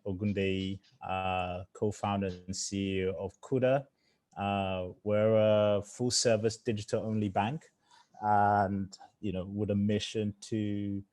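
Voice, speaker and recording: A man who grew up in England, a startup founder speaking casually in a fireside chat, with many fillers. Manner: conversational, lots of fillers, low flat voice.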